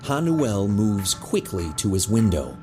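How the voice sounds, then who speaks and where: A man's voice: on the low side, big pitch swings, unhurried. A man reading scripted narration for an audio drama as its narrator. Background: music.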